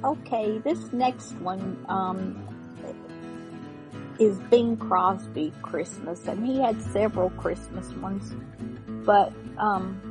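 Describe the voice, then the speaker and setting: A woman hosting a radio show in casual, halting, unscripted chat. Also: mid-range voice. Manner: chatty, halting, informal. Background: guitar music.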